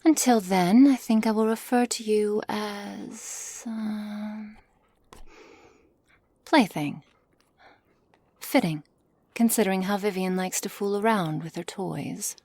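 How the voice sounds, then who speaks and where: A woman playing a haughty, condescending witch in an ASMR roleplay, speaking softly in measured, formal phrases with a commanding manner. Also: medium pitch, dry recording. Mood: condescending, cool. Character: cold, haughty witch, imperious captor of a werewolf. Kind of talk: ASMR roleplay, scripted character acting. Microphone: close studio mic, dry.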